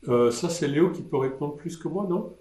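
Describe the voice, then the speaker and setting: A man's voice: fairly high pitch, expressive, moderate pace, warm tone. A man in his sixties, an artist answering questions in a live online session, hesitant and rambling with many restarts. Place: indoor room at a desk. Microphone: webcam on a desk. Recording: roomy webcam audio.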